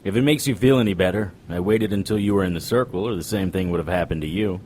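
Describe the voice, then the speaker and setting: An actor playing a wry, unflappable occult detective, narrating an audio drama in a dry noir style. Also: male voice, low voice, quick pace, darkish tone, a little hiss or hum, lightly acted.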